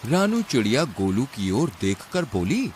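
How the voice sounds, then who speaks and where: A man's voice: mid-range voice, big pitch swings, measured pace, a little mellow, a little hiss or hum. A man narrating a scripted animal fable as a storyteller for a cartoon.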